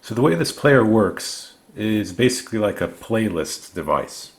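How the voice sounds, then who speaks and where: A man narrating a product review, explaining things methodically in short, measured phrases. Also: on the low side, sing-song. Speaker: gadget reviewer.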